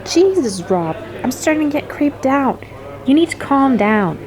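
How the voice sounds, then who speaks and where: A woman's voice: sits high, sing-song, a little slow, low-quality recording. A woman playing a blunt, casual young friend who is uneasy and exasperated, in a conversational audio drama. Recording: busy crowd murmur behind.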